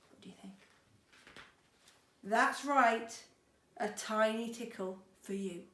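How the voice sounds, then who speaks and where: A woman's voice: a little high, slow, sharp tone. A woman schoolteacher reading a storybook to children expressively, with chatty, encouraging asides. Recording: roomy echo, faint background noise.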